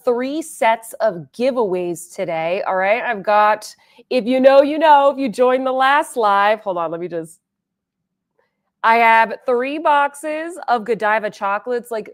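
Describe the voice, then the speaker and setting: A woman hosting a beauty livestream, chatting casually with viewers and reading their comments aloud, a little congested and sniffly. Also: medium pitch. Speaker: beauty channel host.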